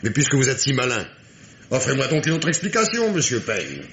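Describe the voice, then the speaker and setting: An actor playing a sardonic, dogged police inspector in a French radio mystery play, with ironic, emphatic diction. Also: male voice, medium pitch, huge pitch swings, brisk, a bit bright, slight background noise. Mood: ironic, stern.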